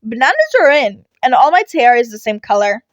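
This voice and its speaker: A woman's voice: fairly high pitch, very animated, a little fast, no echo, muffled recording. A woman voicing a cheeky, playful teenage boy in a fan fiction reading, with an insistent, teasing manner. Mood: teasing, confident. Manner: bright, teasing, insistent, emphatic. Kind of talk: podfic character voice.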